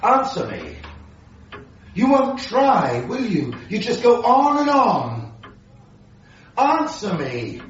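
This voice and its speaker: An actor playing an exasperated, pleading, bitter middle-aged husband in a radio drama, ranting in halting bursts. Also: male voice, high voice, huge pitch swings, a little hiss or hum, full character voice. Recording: echoey stairwell, distant, muffled radio broadcast.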